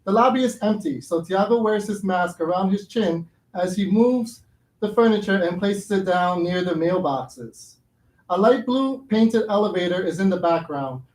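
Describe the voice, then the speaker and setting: A man's voice: high-pitched, darkish tone. A man speaking as a playwright at a live staged reading, giving introductions and narrating stage directions. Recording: echoey room with background noise.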